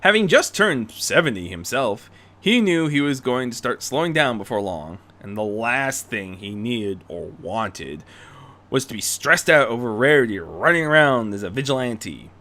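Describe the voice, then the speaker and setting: Male story narrator for fan fiction, bright, forceful delivery with extremely lively melodic swings. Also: mid-range voice, moderate pace. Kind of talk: expressive scripted story narration.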